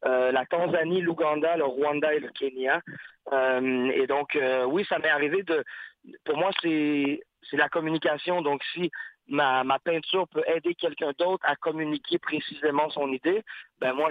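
A man's voice: a little high, steady tone, moderate pace, no room sound. A man, a guest artist, talking in a casual interview, reflective and searching for words with frequent restarts. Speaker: guest artist, rapper and painter. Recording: tinny phone-like line.

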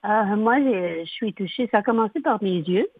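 A woman in her sixties, a native English speaker from Ottawa, speaking French as a guest with a slow, halting manner and frequent throat clearing. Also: medium pitch, expressive, no room sound. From Ottawa, Canada. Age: 60s. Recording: tinny phone line.

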